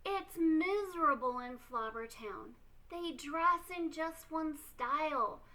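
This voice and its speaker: Lady doing story time for kids, high voice, slow and really expressive. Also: poor audio. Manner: theatrical, deliberate emphasis. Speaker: storytime reader. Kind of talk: expressive children's storybook reading.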